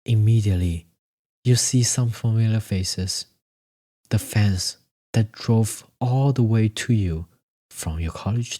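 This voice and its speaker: Slow, low-voiced man narrating a story. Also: mellow, husky. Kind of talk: scripted second-person story narration.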